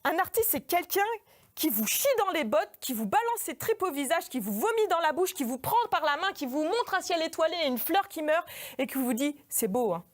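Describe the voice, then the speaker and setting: A woman's voice: sits high, very animated, brisk. A woman giving a humorous autobiographical stage monologue in an informal, hesitant, self-deprecating way. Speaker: artist giving a performance lecture. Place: in front of a live audience.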